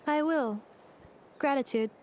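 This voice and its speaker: High-voiced, literal-minded robot girl. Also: slow, a little mellow, slight background noise. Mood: earnest puzzlement. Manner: stiff, formal, clinical phrasing. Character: literal-minded female machine being, curious, emotionally naive. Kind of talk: video game voice acting.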